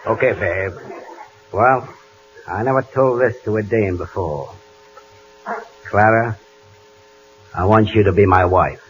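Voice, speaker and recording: An actor playing a tough, slangy gangster wooing a woman in a 1940s radio comedy, gruff in manner. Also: fairly low pitch, takes it slowly, pauses often. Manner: gruff, slangy, calls her babe. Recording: dull 1940 radio broadcast with hiss.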